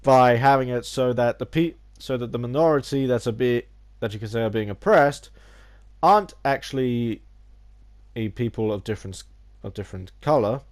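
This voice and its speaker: A man's voice: medium pitch, normal speed. A man with a cold and a slightly nasal voice, talking casually about games in a rambling way with many restarts. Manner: theatrical opening greeting. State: has a cold, a little nasal. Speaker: gaming YouTuber. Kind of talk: casual video essay.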